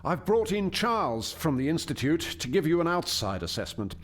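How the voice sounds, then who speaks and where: A man's voice: a little high, very animated, normal speed. An actor playing an earnest alien scientist in a radio play, hesitant and deferential as he explains and defends his work. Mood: pleading, defensive.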